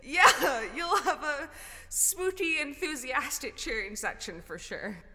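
A woman's voice: high-pitched, expressive, slow pace, bright, forceful tone, full character voice. A woman playing a cocky, wisecracking ghost in an audio drama, breezy and cheeky, heard in long reverb. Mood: smug, teasing.

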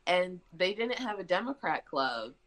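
A woman's voice: on the low side, big pitch swings, a little slow, a bit bright. A woman in her twenties from Michigan, a guest chatting casually on a talk show with lots of restarts and fillers. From Michigan, USA. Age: 20s. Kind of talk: casual conversation.

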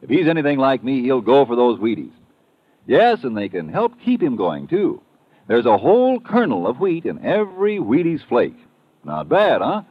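Very animated American family-man pitchman. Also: normal speed, no echo. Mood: folksy, persuasive. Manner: folksy, conversational, animated. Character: folksy, contented family man pitching breakfast cereal. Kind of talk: old-time radio commercial testimonial. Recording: dull, dry vintage radio recording.

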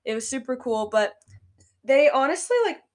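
Young woman chatting on her podcast, kinda congested and nasal, says like and um a lot, voice a bit on the high side. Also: lively intonation, moderate pace. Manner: chatty, lots of "like" and "um" fillers. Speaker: podcast host, business owner. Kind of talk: casual solo podcast talk.

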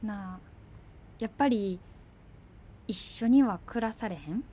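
A woman's voice: mid-range voice, unhurried, dark tone, a little hiss or hum, natural voice. An actress playing a practical, exasperated girlfriend with a Kansai accent in naturalistic radio drama dialogue, blunt and challenging. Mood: gentle, probing.

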